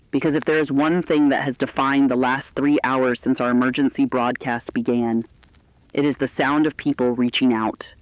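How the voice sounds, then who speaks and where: A man's voice: mid-range voice, no echo, highs cut off, close to an everyday voice. A man playing a reassuring, compassionate news co-anchor, earnestly consoling listeners in a scripted broadcast. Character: reassuring, compassionate co-anchor comforting frightened audience.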